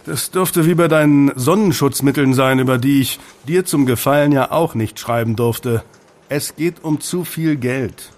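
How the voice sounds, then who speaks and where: An actor playing a slick, wry tabloid newspaper reporter in an audio drama, chatty and keen to explain. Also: male voice, mid-range voice, unhurried, a little mellow, lightly acted.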